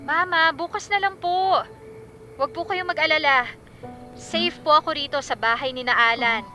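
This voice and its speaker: A woman's voice: high voice, moderate pace, pushed and bright. A woman acting a sulky, girlish nineteen-year-old daughter in a Filipino radio drama. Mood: evasive. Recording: music clearly behind the voice.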